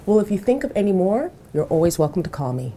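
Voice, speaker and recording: A woman's voice: fairly low pitch, sing-song, dark tone, slight background noise. A woman playing a courteous bank manager. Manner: polite, formal, measured. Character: poised, courteous female bank manager interviewing. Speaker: job interviewer. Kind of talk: scripted dialogue for language learners. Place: office interior.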